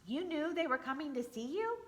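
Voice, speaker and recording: A woman doing a curious, high wooden girl. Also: sing-song, moderate pace. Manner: curious, surprised. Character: curious female wooden villager.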